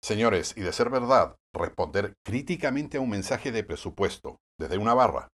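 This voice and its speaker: A man's voice: lowish voice, lively intonation, moderate pace, a bit bright, husky. Wry Puerto Rican male news commentator. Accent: Puerto Rican Spanish. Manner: wry, sardonic editorial delivery. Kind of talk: scripted news commentary narration.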